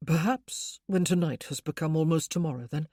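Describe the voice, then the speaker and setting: A woman voicing a gentle, lonely newly married man who speaks tenderly and coaxingly. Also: deep voice, lively intonation, moderate pace, breathy edge, no echo, studio quality. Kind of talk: audiobook character voice.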